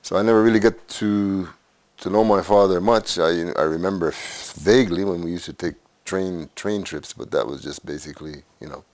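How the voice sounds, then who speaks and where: A man in his sixties from Canada, recalling his life story in a documentary interview, unhurried and halting with frequent pauses. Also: low voice, a little mellow, no room sound. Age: 60s.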